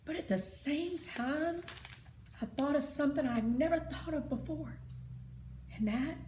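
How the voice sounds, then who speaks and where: Female read-aloud narrator, unhurried and dramatic, echoey room with faint noise. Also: high-pitched, mellow. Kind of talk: expressive children's story narration. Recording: roomy sound with faint noise.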